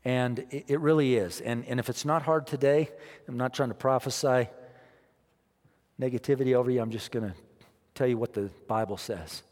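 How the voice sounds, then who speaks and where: A man preaching a sermon as pastor, emphatic, repeating his phrases and swinging between quiet and loud. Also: medium pitch, steady tone, moderate pace, long reverb tail. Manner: emphatic, repeats phrases, rises and drops in loudness.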